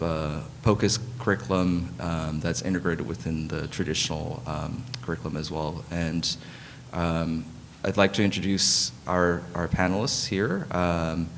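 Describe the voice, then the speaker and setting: A man moderating a medical conference panel, introducing speakers in a hesitant way with many pauses. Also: low-pitched, a little hiss or hum. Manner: frequent fillers and restarts. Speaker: conference moderator, emergency physician. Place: conference hall.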